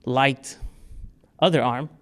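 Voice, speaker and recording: A man's voice: mid-range voice, steady tone, takes it slowly. A man from Portugal giving a talk to a club audience, answering questions in a casual way with many fillers and restarts. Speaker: speaker. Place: club meeting room.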